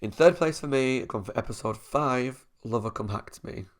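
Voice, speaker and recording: A man's voice: medium pitch, normal speed. A man, a YouTube reviewer talking through his opinions in a casual monologue, thinking aloud as he weighs them.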